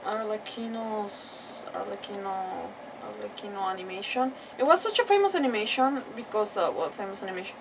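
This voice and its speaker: A woman's voice: medium pitch, lively intonation, a little fast, gravelly, hardly any pauses, noisy background. Scattered woman streamer, distant mic. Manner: scattered, exclamatory, repeats words. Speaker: game streamer. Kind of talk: casual livestream chat.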